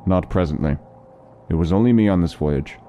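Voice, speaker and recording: A man playing a courteous, learned doctor in a fantasy audio drama, speaking formally and deliberately with some hesitance. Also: low-pitched, dark tone, highs cut off, slight background noise, natural voice. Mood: politely guarded. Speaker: voice actor in an interactive fantasy audio story. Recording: music behind the voice.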